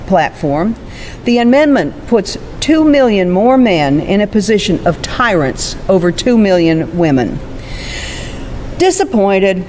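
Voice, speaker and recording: A woman playing an aged, resolute suffrage campaigner who recounts her life in a formal, deliberate oratorical manner. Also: mid-range voice, a little mellow, slight background noise, natural voice. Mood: firm, defiant, then proud, dignified. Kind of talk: first-person historical monologue on stage.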